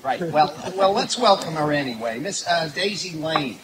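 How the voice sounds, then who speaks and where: Man playing a high-voiced, flustered radio host. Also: lively intonation, moderate pace, natural voice. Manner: hesitant, stammering, polite. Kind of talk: 1940s radio comedy acting. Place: outdoor night stage in woods. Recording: very noisy live outdoor stage recording.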